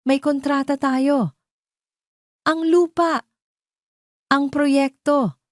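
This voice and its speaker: A haughty old rich matriarch, put on by a woman narrator for an audio drama. Her voice is raised in pitch, sharp and scornful, with an imperious, indignant bite. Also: fairly even intonation, extremely slow, no echo, studio quality. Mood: outraged shouting.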